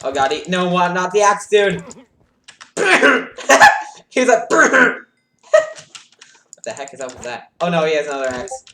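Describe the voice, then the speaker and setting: A man streaming a game live, reacting excitably with yelps and shouts, keyboard clicking behind him. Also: high-pitched, measured pace, long pauses, echoey room, slight background noise. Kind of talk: live gameplay commentary. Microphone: desk mic with audible keyboard.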